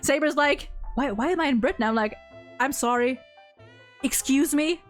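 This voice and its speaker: A woman hosting a stream, chatting casually and gushing in an animated, blunt, sweary way. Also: high-pitched, moderate pace, soft background music. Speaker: host of a game ranking stream.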